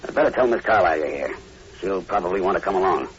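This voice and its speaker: An actor playing a grim, superstitious plantation overseer with ominous, emphatic delivery in 1940s radio horror style. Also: male voice, deep voice, lively intonation, fast. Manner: ominous, emphatic, dramatic storytelling. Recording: muffled, noisy old radio recording.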